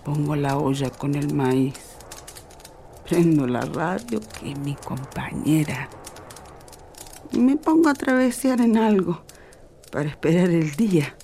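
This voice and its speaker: A woman's voice: low-pitched, animated, dark tone, slight background noise, exaggerated voice. A woman playing a kindly, weary elderly rural godmother in a radio drama, with a northwestern Argentine accent and folksy, plainspoken manner. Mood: weary, chatty. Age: older. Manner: slow, folksy, rustic.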